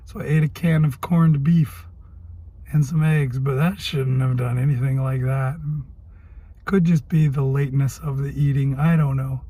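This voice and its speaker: A man with a cold and a hoarse voice, rambling through a casual video diary and thinking aloud as he goes. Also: mid-range voice, fairly even intonation, normal speed, mellow. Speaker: health vlogger.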